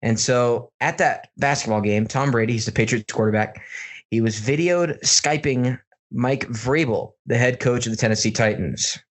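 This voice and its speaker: A man with an American accent hosting a casual, jokey podcast, telling news stories in an informal, animated way. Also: mid-range voice, normal speed. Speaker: podcast host. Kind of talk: casual comedic news banter.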